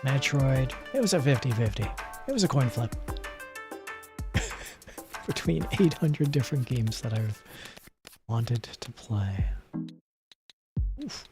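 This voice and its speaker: A male streamer with a soft, unforced voice at an ordinary middle pitch, rambling through casual chat and muttering asides at the game. He sounds self-deprecating and easygoing. Music plays clearly under his voice. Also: animated, slow, long pauses. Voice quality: soft, not gravelly at the moment. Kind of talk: casual live-stream chat while playing.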